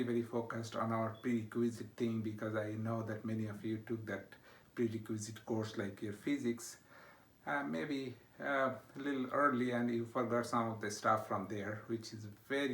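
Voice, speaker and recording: A man's voice: mid-range voice, fairly even intonation, moderate pace, fairly bright tone. A middle-aged man with a Bangladeshi accent, a university instructor introducing his course from a home study, with frequent "uh" fillers and a checking "okay?". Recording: echoey room.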